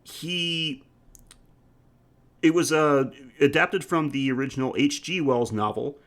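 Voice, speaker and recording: A man's voice: fairly high pitch, slow, a bit bright. A man co-hosting a podcast, chatting casually about films in a jokey, bantering way. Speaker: podcast co-host. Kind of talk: casual film discussion chat.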